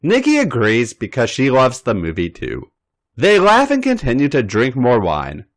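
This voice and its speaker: A man's voice: medium pitch, huge pitch swings, moderate pace. A man hosting a podcast, reading a story aloud in scripted narration. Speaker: podcast host and story reader.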